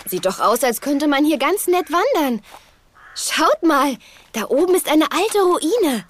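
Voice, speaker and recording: A woman's voice: high voice, sing-song, a little slow, dry recording. A woman playing a curious girl toy figure who is eager to explore, in a children's radio play.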